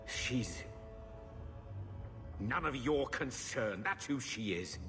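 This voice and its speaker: An actor playing a gruff, bitter older man in a fantasy game, curt and irritable. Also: mid-range voice, lively intonation, takes it slowly. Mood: bitter, snapping. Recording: long reverb, music behind.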